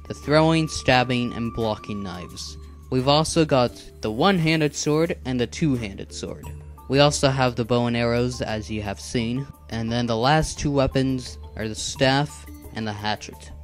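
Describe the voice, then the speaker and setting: A man playing a patient, earnest animal assassin mentor in an animated drama, explaining things in a measured, instructive way. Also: mid-range voice, natural voice. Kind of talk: scripted animated drama dialogue. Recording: background music under the voice.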